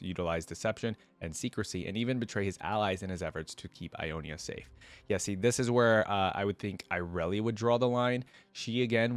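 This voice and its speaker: A man hosting a reaction video, reading aloud and adding casual, informal asides to viewers. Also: lowish voice.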